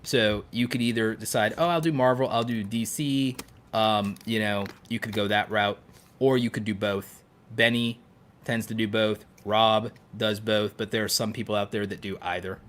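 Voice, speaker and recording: Low-voiced man talking through advice. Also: steady tone, normal speed, fairly bright tone. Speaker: podcast co-host and content creator. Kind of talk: casual podcast chat, giving advice.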